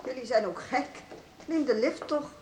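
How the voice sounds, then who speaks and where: A fairly high female voice of an actress in a radio play, with faint ambient noise behind it. She is a mother, brisk and putting on a brave face. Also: a little mellow, close to an everyday voice. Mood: bravely casual.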